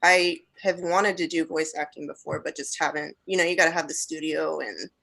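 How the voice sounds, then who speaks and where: A woman's voice: low voice, a little fast, gravelly, a bit bright, rough recording. A woman speaking informally in a casual group conversation. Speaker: actor new to voice acting.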